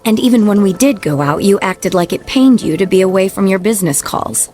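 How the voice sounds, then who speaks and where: Actress as direct, skeptical ex-wife, faint music. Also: female voice, medium pitch, lively intonation, a little fast, warm tone, lightly acted. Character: skeptical, caring ex-wife, easily alarmed. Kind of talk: radio drama acting.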